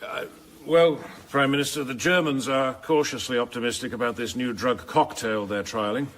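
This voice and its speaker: A man's voice: mid-range voice, steady tone, moderate pace, fairly bright tone, slight background noise, close to an everyday voice. An actor playing a defensive government health official in a radio drama, formal and careful in his speech. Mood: cautious. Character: defensive male government health adviser or minister. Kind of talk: naturalistic radio drama acting.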